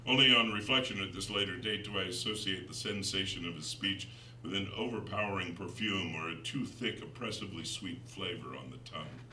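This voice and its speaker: A man's voice: sits low, brisk, bright, forceful tone. A man giving a dramatic literary narration, reading from a gothic novel as its author. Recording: roomy, distant, noisy recording.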